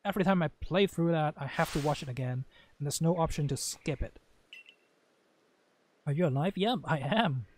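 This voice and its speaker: Higher-pitched man doing casual game commentary. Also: animated, normal speed, long pauses, a little mellow. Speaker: gaming video host playing and commenting.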